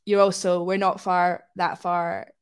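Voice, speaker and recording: Lower-pitched, reflective woman from Scotland. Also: little pitch movement, measured pace, clear, resonant tone. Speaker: podcast guest. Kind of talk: casual conversational interview.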